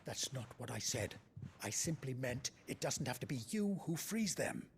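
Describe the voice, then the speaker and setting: An actor playing a gruff, worried resistance comrade in a video game, blunt and exasperated, with a gravelly voice. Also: male voice, medium pitch, very animated, normal speed, a little hiss or hum. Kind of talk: video game cutscene acting.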